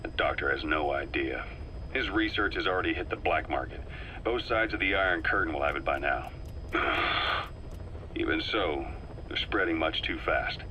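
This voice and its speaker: A low-voiced, stern military intelligence officer briefs with measured emphasis and a hard, forceful edge. He sounds like a seasoned mercenary in a stealth action game, over faint cassette hiss. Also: expressive, exaggerated voice, rough recording. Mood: grave, suspicious.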